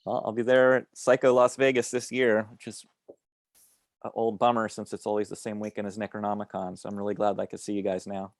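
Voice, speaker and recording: Low-voiced man reading his fiction. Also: little pitch movement, a little fast, no room sound. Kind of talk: reading a story aloud. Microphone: home computer mic on a video call.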